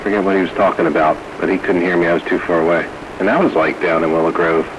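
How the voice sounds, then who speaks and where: A man's voice: sits low, fairly even intonation, fast. A man chatting casually as a CB radio operator in a laid-back, slangy way. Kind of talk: casual chat over CB radio. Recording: noisy, staticky CB radio transmission.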